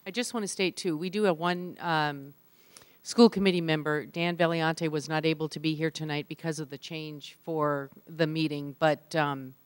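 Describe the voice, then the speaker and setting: A woman's voice: deep voice, fairly even intonation, moderate pace, dry recording. Polite woman chairing a meeting. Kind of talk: procedural meeting announcements.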